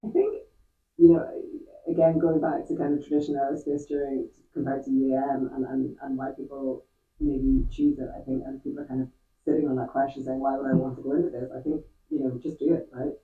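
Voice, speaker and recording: Echoey, phone-like, low-voiced woman with flat intonation. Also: fast. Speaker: interviewee, company employee. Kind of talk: conversational interview answers. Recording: echoey room, distant mic, band-limited sound.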